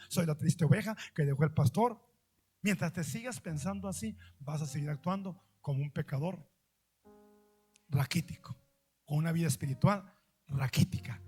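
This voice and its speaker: A man preaching with a high, ringing voice, pressing his points by repeating them and asking his audience questions. He swings from loud emphatic lines to slow, drawn-out quiet words. Faint instrumental music plays softly behind him. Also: husky. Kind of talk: sermon.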